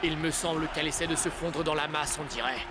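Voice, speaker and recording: A man's voice: a little high, steady tone, quick pace, very noisy, natural voice. A man voicing a sly, mocking member of a rival football team in a French cartoon dub.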